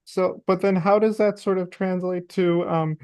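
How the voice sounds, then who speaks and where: A woman hosting an interview, who asks gentle questions and backs the guest with "mm-hmm". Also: lowish voice, steady tone, normal speed, clean tone, no room sound, studio quality. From Colombian and Hungarian family. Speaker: podcast host. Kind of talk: interview conversation.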